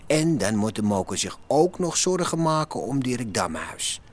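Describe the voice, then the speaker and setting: An actor as the street-wise narrator of an underworld radio drama, chatty and slangy, confiding in the listener. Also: male voice, mid-range voice, big pitch swings, unhurried.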